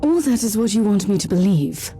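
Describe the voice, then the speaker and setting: A woman's voice: mid-range voice, sing-song, a little fast, warm tone, faint music behind, close to an everyday voice. An actress playing a stern, pious female inquisitor in a video game, with a solemn, commanding manner. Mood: cold judgment.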